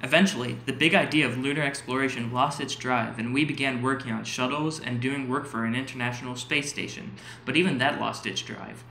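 A man's voice: mid-range voice, brisk, few pauses, sharp tone. A man playing a polite NASA employee giving a formal presentation in an audio drama. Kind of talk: scripted presentation. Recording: roomy echo, faint background noise.

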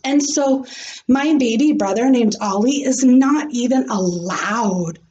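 A woman voicing an excitable, boastful young schoolgirl in a storybook, emphatic and exclamatory. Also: a little high, slow, muffled recording. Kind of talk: acted children's storybook reading. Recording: slightly noisy home recording with clicks.

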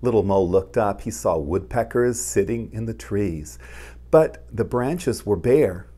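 A middle-aged man reading a children's picture book aloud in a gentle, deliberate manner, explaining as he goes. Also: lowish voice, expressive. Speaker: storytime host, pastor. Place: living room, indoors.

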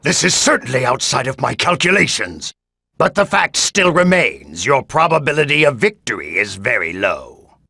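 An actor playing a cold, calculating male android villain in an anime game, with a raspy, creaky voice. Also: medium pitch, very animated, moderate pace, bright, forceful tone, full character voice. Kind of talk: dubbed anime video game acting.

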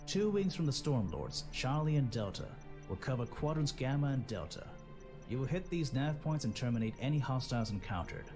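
An actor playing a stern, steady major giving a formal, measured military briefing in a space game. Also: male voice, medium pitch, lightly acted. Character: stern, steady male squadron leader, a major. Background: loud music.

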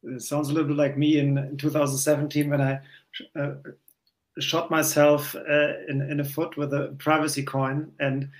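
A man moderates a panel over a video call, his voice sitting a bit high. He speaks hesitantly, repeating words and using loose fillers as he frames his questions. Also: monotone, normal speed. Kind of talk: hosting a panel discussion. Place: home room, in doors.

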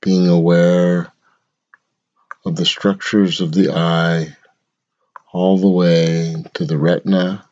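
A man leading a guided meditation in a measured, instructional way, speaking in short phrases with long pauses. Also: sits low, little pitch movement, a little mellow, highs cut off.

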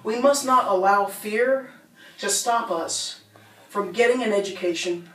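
A middle-aged woman performs her spoken word poems in a rhythmic, rhyming cadence. Her voice sits at a middle pitch. It is picked up from across a roomy bookstore, with a faint hum behind it. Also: unhurried. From United States. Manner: rhythmic, rhyming delivery with small laughs between poems. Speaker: poet. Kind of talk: spoken word poetry reading. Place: bookstore reading room. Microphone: standing mic, filmed from a distance. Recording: roomy sound from a distant camera.

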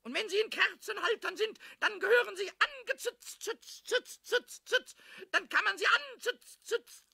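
Tiny impish kobold for a kids audio play, squeaky gravelly, sing-songy, repeats words, super excitable. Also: male voice, unhurried, dry recording, clean studio sound, heavily acted. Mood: excited. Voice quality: squeaky, scratchy. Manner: sing-song rhyming, chattering to himself, repeating words. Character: small mischievous male kobold, impish, excitable, childlike. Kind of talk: children's radio play acting.

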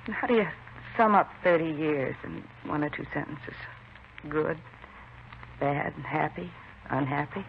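A woman's voice: low-pitched, unhurried, gravelly, a little hiss or hum. A woman playing a weary, subdued middle-aged widow in a 1950s radio drama, on an old muffled recording. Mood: wistful, resigned.

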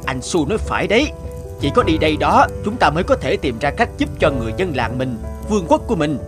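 A man voicing an eager, earnest young villager adventurer in an animated fairy tale, speaking emphatically. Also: on the high side, fast talker, over music. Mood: eager, determined.

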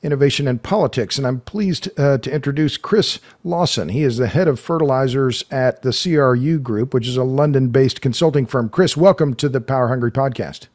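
Older podcast host with halting questions. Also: male voice, medium pitch, darkish tone. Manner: folksy, halting, many restarts. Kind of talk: conversational podcast interview. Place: home studio room. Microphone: large studio mic close up.

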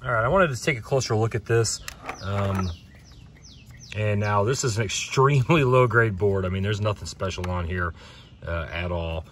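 A man with a fairly low voice talks casually through a teardown as he works. His delivery is unhurried and plain-spoken, with halting pauses. The mic sits at some distance outdoors, and there is faint background noise. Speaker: video host, hobby scrapper. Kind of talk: casual hands-on teardown commentary. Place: outdoors on pavement.

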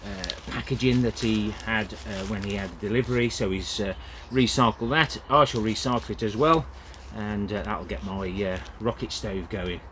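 Plain-spoken man with a lowish voice. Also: fairly bright tone, a little hiss or hum. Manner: plain-spoken, many "uh" fillers. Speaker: allotment gardening vlogger. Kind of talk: casual vlog narration. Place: outdoor allotment, in and around a greenhouse.

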